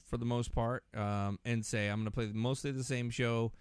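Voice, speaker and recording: A man talking casually and informally, as in a relaxed podcast conversation between friends. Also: mid-range voice, moderate pace, clear, resonant tone, dry recording. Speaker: podcast speaker. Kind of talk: casual conversation about concerts.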